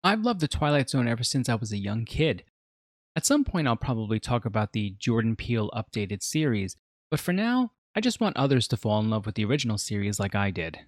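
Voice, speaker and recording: A man's voice: medium pitch, big pitch swings, fairly quick, no echo, clean studio sound. A man in his forties narrating a scripted video review as its host, explaining in an earnest, emphatic way. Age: 40s.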